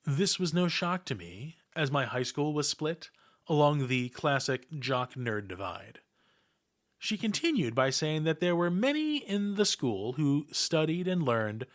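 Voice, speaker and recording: A man hosting a podcast, reading a prepared script with formal, ornate diction and deliberate, dramatic emphasis. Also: medium pitch. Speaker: podcast host.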